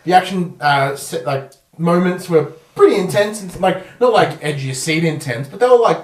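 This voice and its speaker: A man's voice: fairly high pitch, animated, moderate pace. An Australian man hosting a podcast, casually talking through a film review in an informal, hedging way. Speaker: podcast host. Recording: echoey room, far from mic.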